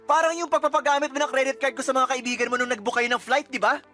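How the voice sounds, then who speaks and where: A man's voice: extremely high pitch, steady tone, fast talker, pushed and bright, soft background music. A young man acting a resentful boyfriend in a radio drama, complaining and accusing. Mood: arguing.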